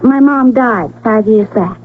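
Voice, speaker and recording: An actress playing a shy but spirited young frontier woman in a radio western, with a rustic American accent and a breathy voice. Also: fairly high pitch, a little slow, slight background noise, lightly acted. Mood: shy, wary. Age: young adult. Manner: timid yet feisty rustic talk. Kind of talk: 1970s radio western acting. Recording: tinny, narrow old radio broadcast.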